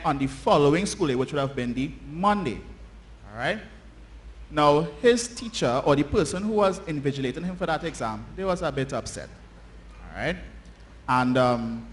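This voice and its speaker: A Trinidadian man telling a story informally to a church congregation, conversational and checking in with his listeners using short tag questions. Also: on the high side. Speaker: church children's story teller. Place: church, speaking to children and congregation. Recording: noisy live church recording, often distant.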